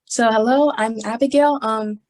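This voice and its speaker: A woman's voice: on the high side, fairly even intonation, normal speed, a little mellow. A young woman from New York giving a prepared student presentation in a composed, scripted way over a video call. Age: young adult.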